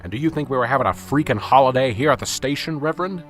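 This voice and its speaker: A man's voice: medium pitch, quick pace, fairly bright tone, faint music behind, close to an everyday voice. A man playing a fed-up, sarcastic police sergeant. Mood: impatient. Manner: curt, exasperated, sarcastic. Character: stressed, blunt male police sergeant, short-tempered. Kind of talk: amateur radio drama.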